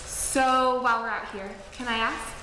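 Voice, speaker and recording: A woman's voice: fairly high pitch, fairly even intonation, moderate pace, natural voice. An actress playing a self-conscious young woman performer who talks in a hesitant, wry, chatty way. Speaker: actor playing a performer on break. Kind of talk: scripted film dialogue. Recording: echoey hall with faint noise.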